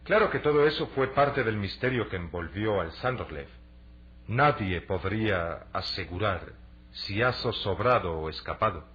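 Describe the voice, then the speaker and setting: Low-voiced young adventurer, dull lo-fi recording. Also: male voice, expressive, a bit bright. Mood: explanatory. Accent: Latin American Spanish. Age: young adult. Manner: explanatory, deliberate, persuasive. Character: earnest young athletic adventurer selling a treasure hunt. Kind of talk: Spanish-language radio drama acting. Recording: dull, lo-fi radio drama recording.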